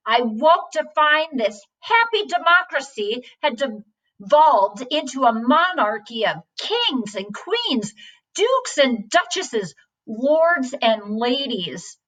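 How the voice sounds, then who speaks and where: A woman with a fairly high voice reads a story aloud, a little far from the mic. Her phrasing is deliberate and expressive, in the manner of read-aloud storytelling. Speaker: story reader. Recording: distant from the microphone.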